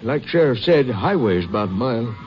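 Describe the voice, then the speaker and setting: An actor playing a friendly, folksy rancher in a 1950s radio drama. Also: male voice, mid-range voice, animated, fairly quick, a little hiss or hum, natural voice. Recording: distant muffled old radio.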